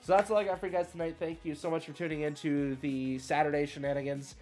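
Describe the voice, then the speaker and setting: A man's voice: on the high side, level tone, fast, a little mellow, soft background music. A man hosting a casual game livestream, thinking aloud in an easygoing, somewhat hesitant way as he plays. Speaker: livestream host and card game player. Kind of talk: casual live game stream commentary.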